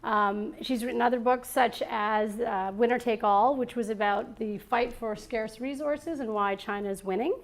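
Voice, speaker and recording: A woman hosting a live salon before an audience, introducing her guest and opening the interview in a chatty, halting way with many fillers and restarts. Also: fairly high pitch, fairly even intonation. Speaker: salon host and moderator. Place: event room with an audience.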